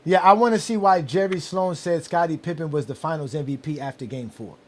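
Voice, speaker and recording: Male host, 40s, casual sports debate, higher voice, lively and repetitive. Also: a little fast. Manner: animated, repeats himself when excited. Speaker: show host.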